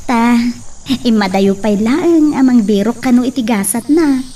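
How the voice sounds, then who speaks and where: A woman's voice: a little high, unhurried, mellow. A young woman playing a polite, reassuring young village woman. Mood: kind. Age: young adult. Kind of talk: amateur drama acting. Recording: distant mic with faint insect noise.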